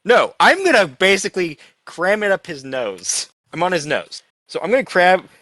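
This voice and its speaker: A man's voice: high voice, sing-song, moderate pace, pushed and bright, no room sound. A man playing a tabletop game casually, narrating his character's moves with blunt talk and free swearing.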